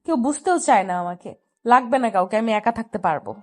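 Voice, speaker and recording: Casting: woman to play stubborn, lovestruck little sister, high voice, petulant and defiant, romance audio story. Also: lively intonation, brisk, lightly acted. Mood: hurt, sulking. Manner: pouting, defiant.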